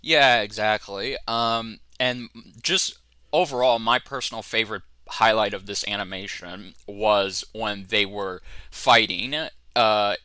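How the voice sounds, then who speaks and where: A man with a fairly deep voice that is very bright and punchy. He talks casually and keenly, like a co-host of a fan review show. The sound is dry, with little room around it. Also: measured pace, smooth, no echo.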